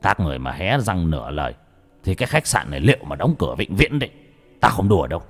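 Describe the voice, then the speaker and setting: A man voicing a stern, hot-tempered old father who shouts and threatens. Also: sits low, big pitch swings, fast, breathy edge, soft background music, exaggerated voice. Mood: threatening.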